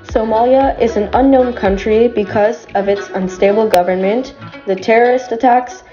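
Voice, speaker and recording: Student woman reading her school report, mellow voice, careful and even, music behind. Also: fairly high pitch, normal speed, lo-fi sound. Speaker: student presenter.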